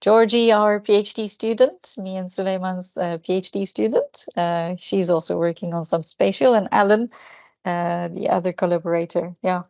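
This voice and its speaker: A woman with a Turkish accent speaks on a video call, her voice muted and a little lo-fi in a dry, close sound. She explains research in an academic question-and-answer session, pausing often with fillers and restarts. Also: lowish voice, fairly even intonation, vocal fry, darkish tone, no echo. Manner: hesitant, many fillers and restarts. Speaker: seminar speaker. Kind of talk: academic Q&A discussion.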